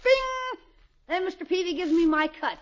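An eager, brash schoolboy with a very high voice that swoops excitedly. He is slangy and boyish, in 1950s radio comedy style. The old recording is dull. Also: normal speed. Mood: confident, scheming. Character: eager, brash schoolboy nephew hustling for a job.

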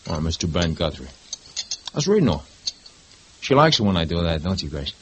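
An actor playing a mocking, domineering husband in a 1950s radio drama, talking with a sarcastic edge. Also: male voice, low-pitched, big pitch swings, normal speed, noisy background. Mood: sneering. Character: sneering, bullying husband and witness.

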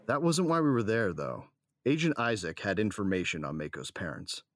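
Casting: deep-toned adventurer and loving husband played by a man, intimate dry narration for audio drama. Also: very animated, moderate pace, fairly bright tone, no room sound. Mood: somber narration. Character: devoted, playful husband and adventurer narrating his story.

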